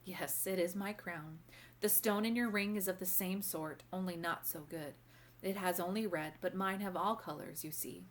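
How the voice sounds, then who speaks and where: Lady doing a kindly old grandma from a storybook, calm n wise, fairly low voice, explains things gently. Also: a little hiss or hum, close to an everyday voice. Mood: reassuring. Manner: gentle, measured, formal instructing. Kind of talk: storybook read-aloud character voice.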